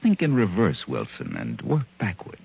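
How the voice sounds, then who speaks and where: Low, husky tempter in a vintage radio thriller, taunting and theatrical, muffled old broadcast sound. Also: male voice, huge pitch swings, heavily acted. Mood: insinuating, calculating. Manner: insinuating, deliberate, theatrical. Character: taunting male inner voice goading a murder planner. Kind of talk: 1940s radio thriller acting.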